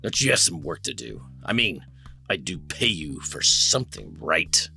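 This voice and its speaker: Man acting low, muttering, brooding noir detective. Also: sing-song, normal speed, vocal fry, sharp tone, faint music behind, full character voice. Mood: irritable, defensive. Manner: muttering, terse, sardonic. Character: brooding, irritable male private detective, obsessive, worn-down. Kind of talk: noir audio drama acting.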